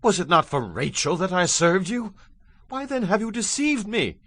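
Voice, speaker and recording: An earnest, hot-blooded young husband in an audio scripture drama. The voice is fairly high and forceful, emphatic on his words, recorded very dry. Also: big pitch swings, normal speed, dry recording, exaggerated voice. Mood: indignant.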